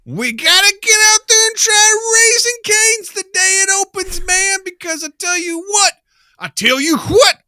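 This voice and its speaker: A man voicing an overexcited, gullible fast-food fan in a whiny, drawn-out, mocking exaggeration on a comedy podcast. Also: extremely high pitch, animated, sharp tone, no room sound, studio quality, pitched above the performer's own voice, heavily acted. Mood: mock excitement.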